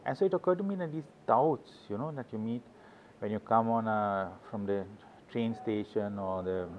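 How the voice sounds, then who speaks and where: Halting author, dull recording. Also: male voice, lowish voice, a little hiss or hum. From Sweden. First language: Swedish. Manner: rambling, anecdotal, halting restarts. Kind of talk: casual interview talk.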